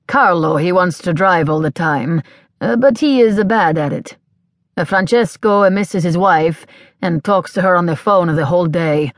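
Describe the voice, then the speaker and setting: A woman voicing a brash, flirtatious man who speaks slow, halting broken English. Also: on the low side, no room sound, studio quality. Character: brash flirtatious Italian man, self-assured.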